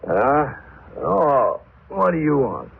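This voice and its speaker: A brusque small-town desk sergeant in old radio style. His voice is husky and unhurried, on a muffled vintage recording. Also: fairly high pitch, big pitch swings, exaggerated voice. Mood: drowsy, irritated. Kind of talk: old-time radio drama acting.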